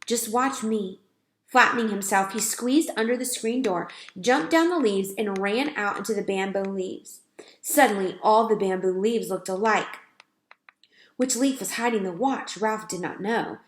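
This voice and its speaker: A woman reading a children's storybook aloud in a clear, expressive way. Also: mid-range voice, normal speed. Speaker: read-aloud reader. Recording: roomy echo.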